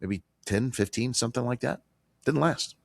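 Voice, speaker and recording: A man hosting a financial talk radio show, hoarse from a cold, talking emphatically with fillers and repeated phrases. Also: mid-range voice, moderate pace, dry recording. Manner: emphatic, repetitive, conversational with fillers.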